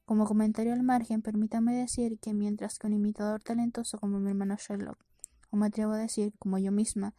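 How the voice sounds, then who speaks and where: A woman's voice: medium pitch, little pitch movement, fast, warm tone, no room sound, clean studio sound. A woman reading a first-person novel aloud as an audiobook narrator, in a scripted storytelling style with the occasional small stumble. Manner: reads with occasional stumbles and self-corrections. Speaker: audiobook reader.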